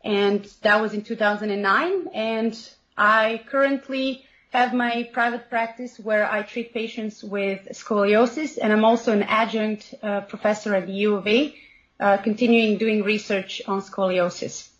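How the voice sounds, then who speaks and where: A woman's voice: mid-range voice, fairly even intonation, unhurried, fairly bright tone. Serbian-accented woman in her forties. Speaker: guest researcher. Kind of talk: podcast interview. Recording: dull, muffled audio.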